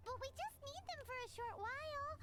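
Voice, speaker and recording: A woman's voice: very high voice, fairly quick. A woman voicing a tiny floating childlike companion in a video game who talks about herself in the third person. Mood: chirpy.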